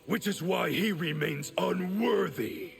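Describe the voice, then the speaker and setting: An actor playing a cold, proud, stern ninja warrior in a video game, speaking in a measured way. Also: male voice, high-pitched, soft background music, heavily acted. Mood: cold, disdainful. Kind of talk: video game fight dialogue. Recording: long hall reverb, clear noise behind.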